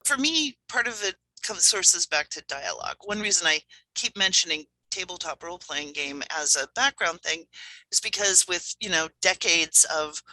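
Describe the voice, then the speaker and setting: A woman's voice: lowish voice, lively intonation, normal speed, bright, forceful tone, dry recording, rough recording. A woman speaking conversationally as a panelist in a discussion among writers. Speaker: panelist, game runner and writer.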